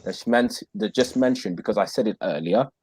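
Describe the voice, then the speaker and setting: A man's voice: fairly low pitch, brisk, darkish tone, breathy edge. A man in his thirties joining a casual panel discussion over a video call, earnest and formal, often calling others sir. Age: 30s. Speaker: panel guest.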